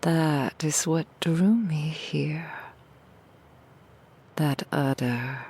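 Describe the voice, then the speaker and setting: A woman voicing a seductive, cruel female demon in an ASMR roleplay, in a slow, breathy whisper full of mocking, intimate taunts. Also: deep voice, a little mellow, full character voice. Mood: cruel taunting.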